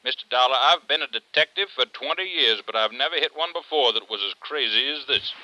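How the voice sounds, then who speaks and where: An actor playing a middle-aged veteran police detective with an American accent, dry and folksy in 1950s radio drama style. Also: male voice, medium pitch, expressive, brisk, noisy background, close to an everyday voice. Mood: exasperated. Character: gruff, wry, baffled veteran male police detective.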